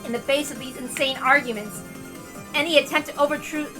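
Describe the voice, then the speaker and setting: A woman's voice: high-pitched, fairly quick, music in the background. A woman giving scripted narration of a story.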